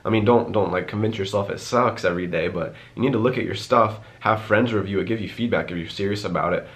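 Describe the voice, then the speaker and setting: A man's voice: fairly low pitch, quick pace, a bit bright. A young man in his twenties with a stuffy, congested voice, chatting casually to camera and answering viewer questions in a rambling way full of fillers. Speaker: YouTuber.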